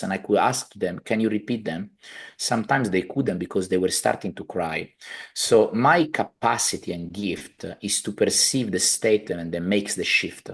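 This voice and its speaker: A man in his thirties with an Italian accent, explaining his healing practice conversationally as an interview guest on a video call. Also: low voice. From Italy. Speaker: podcast guest, healer, family constellations practitioner. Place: living room at home, indoors, video call.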